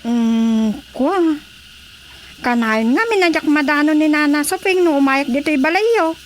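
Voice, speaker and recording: A very shrill, brash Ilocano schemer. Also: female voice, a little slow, a little hiss or hum. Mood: scheming, chatty. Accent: Ilocano Filipino. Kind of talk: Filipino comedy radio drama acting.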